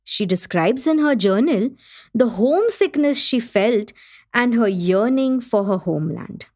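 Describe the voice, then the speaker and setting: An actress playing the gracious host of a literary gathering in a radio play, formal and appreciative. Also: female voice, on the high side, expressive, measured pace, a little mellow. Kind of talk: scripted radio play narration.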